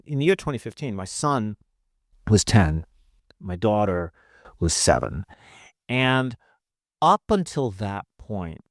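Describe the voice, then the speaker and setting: An American man in his fifties, a podcast guest telling his personal story conversationally in a halting, self-correcting way. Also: lowish voice, no echo, studio quality. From northeastern United States. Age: 50s.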